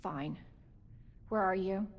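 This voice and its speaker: A woman's voice: mid-range voice, slow pace, lo-fi sound. An actress playing a determined, protective young woman in a horror game, speaking with urgent, blunt emotion. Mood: tense, angry. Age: young adult. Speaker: video game protagonist. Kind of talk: cinematic game voice acting.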